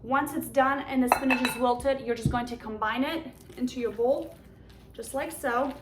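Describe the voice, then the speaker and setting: A woman with a Polish accent hosts a home cooking demonstration, walking through each step with chatty enthusiasm. Her voice sits a little high. The recording is echoey, with clear background noise from the kitchen around her. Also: moderate pace. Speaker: cooking show host.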